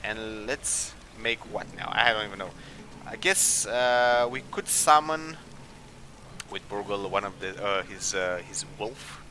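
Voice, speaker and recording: Low-voiced man doing casual game commentary. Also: measured pace, pushed and bright, slight background noise. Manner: hesitant, thinking aloud. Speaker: gaming video host. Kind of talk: casual let's play commentary. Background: game music.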